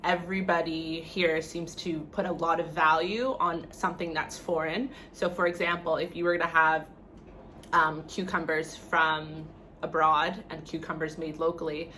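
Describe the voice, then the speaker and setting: A young Canadian woman vlogger with a fairly low voice speaks casually and frankly, sharing her opinions as if chatting to viewers. Her talk is sprinkled with "like" and "you know", over noticeable background noise. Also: fairly even intonation, moderate pace, bright, forceful tone.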